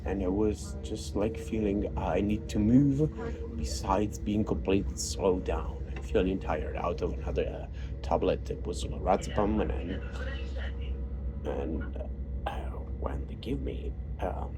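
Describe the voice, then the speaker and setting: A man with an Italian accent, a native Italian speaker, talking in a halting personal monologue full of fillers and restarts. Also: low-pitched, over music. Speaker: vlogger. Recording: noisy background.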